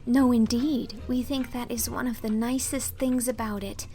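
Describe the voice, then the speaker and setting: Dreamy, eager young woman voiced by a female narrator; lightly raised pitch, earnest storybook delivery. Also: steady tone, normal speed, warm tone, faint music behind, natural voice. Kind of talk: dramatized audiobook acting.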